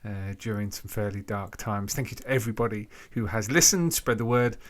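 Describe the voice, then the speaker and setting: A man hosting a podcast, giving an informal introduction in a halting way, thinking aloud between phrases. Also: fairly low pitch. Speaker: podcast host.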